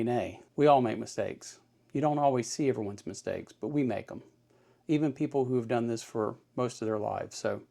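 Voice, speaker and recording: A man's voice: mid-range voice, vocal fry, a little mellow. A man giving an informal hands-on tutorial as host, in a folksy, reassuring way, repeating his points plainly. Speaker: host of an electronics kit-building tutorial.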